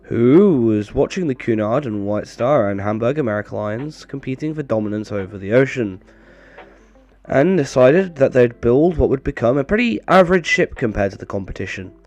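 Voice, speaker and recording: A man narrating in a wry, deadpan way, his voice slightly off as he recovers from a bad cold. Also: mid-range voice, moderate pace, dull audio. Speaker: video creator and narrator. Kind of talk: scripted narration with dry humour, casual outro.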